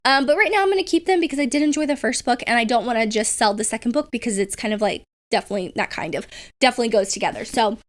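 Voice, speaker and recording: A woman's voice: fairly high pitch, very fast. A young woman with a cold, chatting casually about books she has read, rambling with lots of fillers and restarts. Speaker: book vlogger. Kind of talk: casual book review chat.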